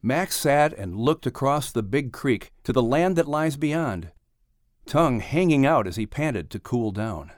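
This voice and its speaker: Animated male storybook narrator, close and dry. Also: medium pitch, measured pace, no echo. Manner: expressive, dramatic. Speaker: audiobook narrator. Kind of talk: scripted children's story narration.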